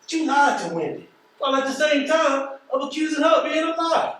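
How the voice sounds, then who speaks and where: An actor with an American accent playing a wry, streetwise, philosophical mail carrier in a stage play, folksy and colloquial. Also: male voice, extremely high pitch, a little fast, a bit bright. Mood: admonishing. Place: theatre stage, recorded from afar. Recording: echoey stage, distant mic, faint noise.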